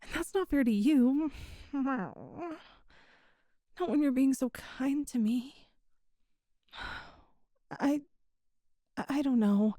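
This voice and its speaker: A woman voicing a shy, hesitant young catgirl thief in an ASMR roleplay, speaking softly with stammers and little meows, close to the mic. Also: high voice, very slow, long pauses, dry recording. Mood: sheepish. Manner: soft, hesitant, stammering, meows between phrases. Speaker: voice actor.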